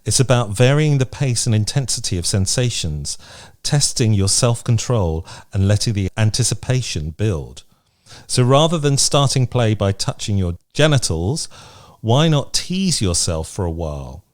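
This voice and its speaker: A man's voice: fairly low pitch, sing-song, moderate pace. A man co-hosting a podcast, chatting casually and frankly in a matter-of-fact way. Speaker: podcast co-host. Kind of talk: casual chat. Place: remote podcast recording.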